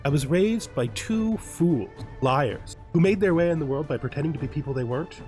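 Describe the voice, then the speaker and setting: A cocky young bandit chief boasts of his life story, proud and scornful of those who raised him, as a man reads his journal aloud. His voice sits a little high for a man. Soft music plays underneath. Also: natural voice. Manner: proud first-person journal reading.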